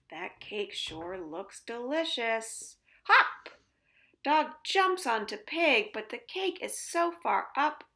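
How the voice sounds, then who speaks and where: A woman reading picture books aloud to young children at home, very expressively, with drawn-out words. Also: a little high. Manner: expressive, with drawn-out words, sound effects and gasps. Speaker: children's storytime reader. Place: indoors at home. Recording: roomy, echoing home recording.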